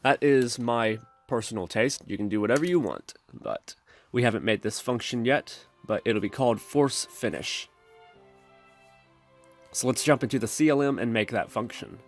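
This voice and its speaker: A man's voice: mid-range voice, animated, normal speed, faint music behind. A man hosting a programming tutorial, narrating step-by-step instructions in a screencast style.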